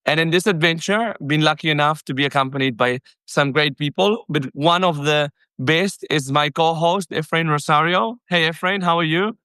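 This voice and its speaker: Chatty male podcast host, crisp dry sound. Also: on the high side, normal speed, hardly any pauses, no room sound. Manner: energetic, talkative, self-correcting. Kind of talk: casual podcast interview.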